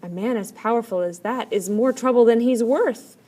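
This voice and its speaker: A woman's voice: a little high, dark tone, lightly acted. Woman reading as a wary, imperious emperor. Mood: wary scheming. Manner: deliberate, imperious. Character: wary, scheming male emperor of China. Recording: clear background noise.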